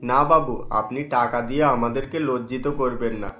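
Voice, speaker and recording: A man's voice: mid-range voice, steady tone, normal speed, a bit bright, faint music behind, lightly acted. A man voicing a poor middle-aged village husband and father, speaking in an earnest, worried way, recorded in an echoey room.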